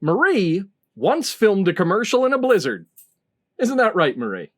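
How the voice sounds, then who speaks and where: A woman's voice: medium pitch, huge pitch swings, moderate pace, no echo, clean studio sound. A woman hosting a casual game, reading out rules and prompting the players. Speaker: game show host.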